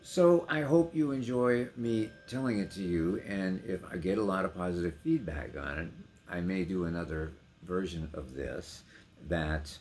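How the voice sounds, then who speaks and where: A man's voice: sits low, moderate pace, darkish tone, a little hiss or hum. A middle-aged man giving a casual personal introduction to a reading, with emotive, theatrical emphasis. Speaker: poetry reader and trained actor.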